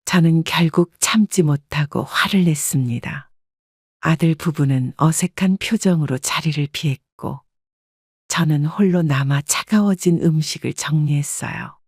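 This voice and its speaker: A woman calmly narrating a family story in the first person as a scripted audiobook. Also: low voice, a little slow, husky, dry recording, clean studio sound. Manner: calm, even storytelling.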